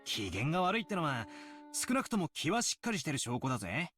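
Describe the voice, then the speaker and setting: A man's voice: fairly high pitch, wildly swooping pitch, racing, fairly bright tone, slightly rough, no echo, faint music behind, full character voice. An actor voicing a brash, cocky young man in a visual novel, blunt and teasing. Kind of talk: Japanese game voice acting.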